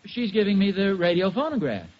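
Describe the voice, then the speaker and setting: A man's voice: sits high, very animated, a little fast. An American man playing a mild, easily needled husband with a hesitant, defensive manner in a 1940s radio sitcom. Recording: muffled, hissy 1940s radio broadcast.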